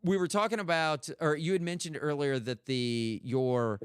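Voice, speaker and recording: A man's voice: fairly high pitch, steady tone, moderate pace, clear, resonant tone, no room sound, studio quality. A middle-aged American man hosting a podcast, chatting casually in a candid, rambling way. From United States. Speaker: podcast host. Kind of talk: casual conversational interview.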